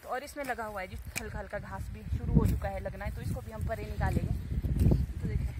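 A woman's voice: medium pitch, fairly even intonation, fast, heavy background noise. A young woman from Himachal Pradesh chatting to her viewers as she plants potatoes outdoors, filmed on a phone. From Himachal Pradesh, India. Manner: chatty, explains farm work to viewers. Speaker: vlogger. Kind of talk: casual narration to camera. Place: hillside farm field outdoors. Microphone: phone camera, arm's length and set on ground.

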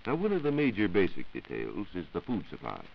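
A man's voice: low-pitched, huge pitch swings, fairly quick, creaky, dull audio. An American man explaining formally as a science reporter in a scripted 1940s radio broadcast on an old recording.

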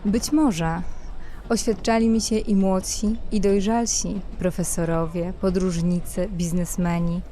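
A woman's voice: mid-range voice, fairly even intonation, measured pace, dark tone, a little hiss or hum, lightly acted. An actress playing an elegant, self-assured lady traveler in an audio drama, coy and teasing as she flirts. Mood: coy flirtation. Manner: coy, teasing, playful questioning. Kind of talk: Polish audio drama dialogue.

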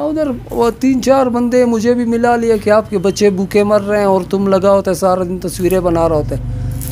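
Interviewee, man, 50s, from Pakistan; high-pitched, earnest and complaining, noisy workshop recording. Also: mellow. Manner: earnest, complaining, repeats filler words. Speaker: artist interviewee. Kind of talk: casual interview. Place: cluttered workshop, indoors. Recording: noisy background.

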